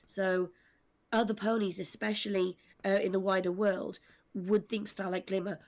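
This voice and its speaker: A woman's voice: medium pitch, level tone, normal speed, a little mellow. A woman speaking articulately and earnestly at length, explaining characters on a discussion panel.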